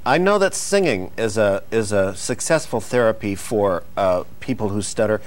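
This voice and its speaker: A man's voice: lowish voice, expressive, moderate pace, slight background noise. A man hosting a mock-serious comedy interview, asking questions hesitantly and prompting his guest with words. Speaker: interviewer, host.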